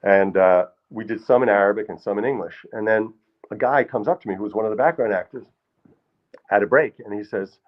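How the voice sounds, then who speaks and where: Middle-aged male storyteller, self-deprecating and meandering, low-ish voice, muffled live recording. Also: a little fast. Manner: rambling, self-deprecating, many restarts. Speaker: storyteller performer. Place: small hall with live audience.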